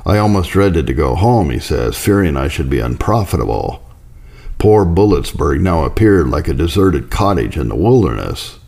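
Guy with a low voice reading old church history out loud, formal but with lots of rise and fall. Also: sing-song, moderate pace, vocal fry. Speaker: audiobook narrator reading religious history. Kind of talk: scripted narration of a historical account.

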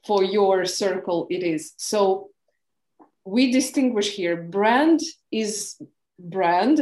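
A woman's voice: mid-range voice, a little mellow, echoey room. A woman host with a Ukrainian accent, a native Ukrainian speaker, asking interview questions and searching for words as she clarifies. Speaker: podcast host.

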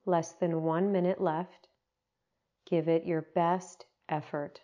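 A woman leading a guided meditation, giving gentle, unhurried instructions with long pauses. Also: on the low side, little pitch movement, dull audio.

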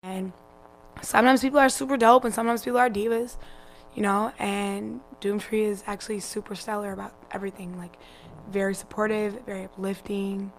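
A woman with a raspy voice, a musician talking casually in an interview, laid-back and laughing often. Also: mid-range voice, steady tone, fairly quick, a little hiss or hum, low-quality recording. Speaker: musician guest.

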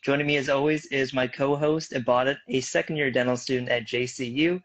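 A man's voice: medium pitch, steady tone, brisk, a bit bright. Upbeat young male podcast host. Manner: upbeat, friendly, some filler restarts. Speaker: podcast co-host, dental student. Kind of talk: casual podcast hosting.

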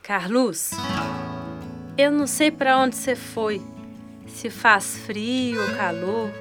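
A retired Brazilian woman detective of fifty-one in a radio serial. Her voice sits fairly high, bitter and full of longing, with music underneath. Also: lively intonation, takes it slowly. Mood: sorrowful. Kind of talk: radio drama acting.

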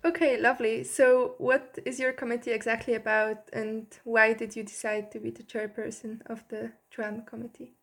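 A woman's voice: on the high side, flat delivery, moderate pace, few pauses. A woman hosting a podcast, putting prepared interview questions to a guest.

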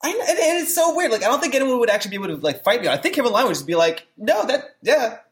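This young host chats loosely and says "like" a lot. The pitch leaps around as they talk. The voice bounces around a reverberant room. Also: racing. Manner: animated, says "like" a lot, does mocking quoted voices. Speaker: podcast host. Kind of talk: casual chat. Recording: echoey room.

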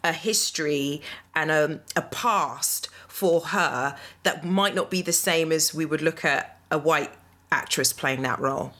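A woman with a notably low voice hosts a relaxed discussion. She poses thoughtful questions and fills the gaps with affirming murmurs. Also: a little slow. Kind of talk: casual discussion.

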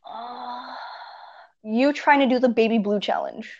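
A teenage girl chatting casually with a friend, giggly and animated as she tells stories. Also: a little high, a little slow. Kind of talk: casual chat between friends. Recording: dull lo-fi recording.

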